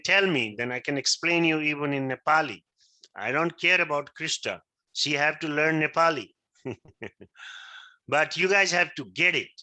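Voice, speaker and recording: Bright-voiced man lecturing a class. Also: on the high side. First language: Maithili. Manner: keeps checking understanding, asks if he is right. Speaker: teacher.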